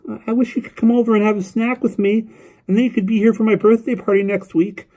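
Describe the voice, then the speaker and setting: A man voicing a lonely, eager young boy on the phone in an audiobook story, guileless and rambling, with a childlike high-pitched voice. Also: dark tone, natural voice, poor audio. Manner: guileless, rambling, repetitive, full of questions.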